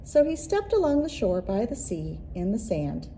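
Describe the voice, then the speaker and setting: Mellow woman reading a picture book aloud, expressively. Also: mid-range voice, a little hiss or hum, rough recording. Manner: expressive, deliberate storytelling with rhythmic repeated phrases. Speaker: children's librarian and story reader. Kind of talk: picture-book read-aloud for young children.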